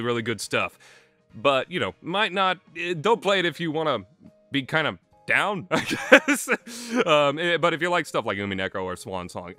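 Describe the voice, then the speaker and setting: A man's voice: sits low, pushed and bright. A young man giving casual, unscripted commentary as a reviewer, hesitant and self-deprecating in the way he talks. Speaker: visual novel reviewer. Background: music.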